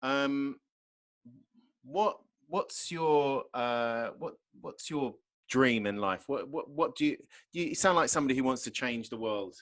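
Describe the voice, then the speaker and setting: Joking English podcast host. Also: male voice, medium pitch, a little slow, fairly bright tone. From England. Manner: jokey, loose, trails off with ums. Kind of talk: casual interview over video call. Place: plain home room. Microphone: large podcast mic on arm.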